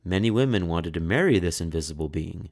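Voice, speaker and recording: A man reading a children's picture book aloud, measured and dramatic, pausing for suspense. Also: sits low. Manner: measured, expressive, with pauses for suspense. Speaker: children's storyteller.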